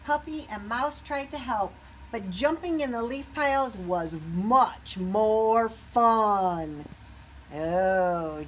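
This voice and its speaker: A woman reading a children's picture book aloud expressively, pausing to put questions to young listeners. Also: on the high side, a little hiss or hum, rough recording.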